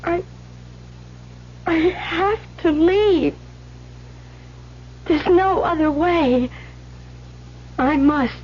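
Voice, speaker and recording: High-voiced, meek young nun. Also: female voice, extremely slow, long pauses, exaggerated voice. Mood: troubled, resolute. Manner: earnest, pleading, hesitant. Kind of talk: old-time radio drama acting. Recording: muffled, noisy old radio recording with hum.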